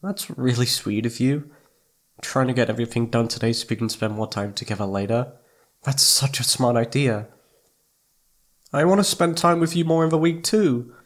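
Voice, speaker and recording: A man playing a needy, affectionate young boyfriend in an intimate ASMR roleplay, speaking in a soft, pleading, hesitant way. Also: medium pitch, normal speed, lightly acted. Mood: apologetic, tender. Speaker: audio roleplay voice actor.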